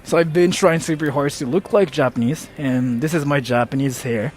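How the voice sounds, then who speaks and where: American male streamer in his twenties, light joking delivery, voice a touch high, faint noise in the background. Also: moderate pace. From United States. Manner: joking, self-mocking, reads chat aloud. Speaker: streamer reading donations. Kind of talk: casual live stream chat.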